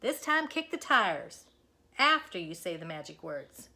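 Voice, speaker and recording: A woman's voice: on the low side, sing-song, a little slow, sharp tone, heavily acted. Woman reading as an insistent, scheming storybook cow. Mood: excited, urgent. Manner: insistent, explaining, emphatic. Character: bold, scheming cow with big ideas. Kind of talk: children's storybook read-aloud.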